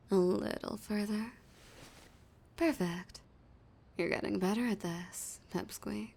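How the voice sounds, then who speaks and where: A woman playing a flirty, dominant villain in an intimate audio roleplay, teasing and menacing a hostage in a breathy, husky voice that slips into whispers. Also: on the low side, darkish tone, exaggerated voice. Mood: teasing, bossy, then taunting, haughty.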